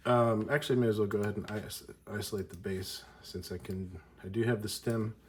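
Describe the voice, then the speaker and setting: A man's voice: fairly low pitch, steady tone. A man giving an informal music tutorial, thinking aloud and often interrupting himself as he explains. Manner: halting, self-interrupting, explanatory. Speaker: music teacher, video host.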